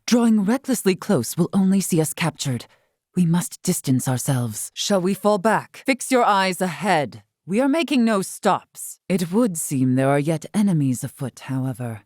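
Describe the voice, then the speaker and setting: Stately, low-voiced warrior scholar. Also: female voice, animated, moderate pace, darkish tone, no echo, clean studio sound. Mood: measured, watchful. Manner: stately, archaic formal diction. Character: dignified, formal woman warrior-scholar of a proud people. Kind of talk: scripted video game character lines.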